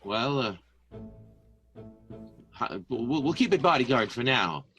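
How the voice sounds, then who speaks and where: A man's voice: medium pitch, animated, slow, sharp tone, muffled recording, faint music behind. A man playing a nervous, needy client in an improvised radio soap. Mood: nervous, familiar.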